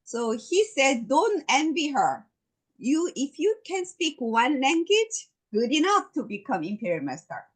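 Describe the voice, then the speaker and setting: Emphatic Korean-accented woman, slow and animated. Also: high-pitched, low-quality recording. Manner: emphatic, repetitive, deliberate. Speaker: network marketing presenter. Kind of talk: motivational business presentation.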